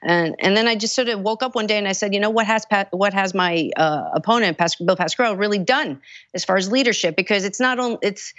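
A woman's voice: mid-range voice, brisk, fairly bright tone, no room sound, dull audio. A woman, a congressional candidate giving earnest, urgent answers with many restarts. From United States. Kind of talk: interview answers.

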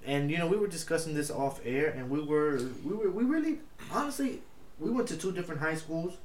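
Young male co-host, Spanish first language, loose slangy delivery, a touch high, roomy echo. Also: fairly quick. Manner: animated, slangy, swears freely. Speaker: podcast co-host. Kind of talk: casual chat. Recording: echoey room with faint noise.

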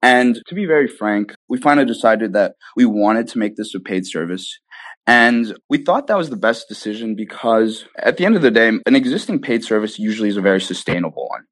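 A man's voice: fairly low pitch, lively intonation, brisk, a bit bright, no echo. A young man answering interview questions casually and enthusiastically, often checking in with "right?" and "you know". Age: young adult. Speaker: interview guest, tech startup founder.

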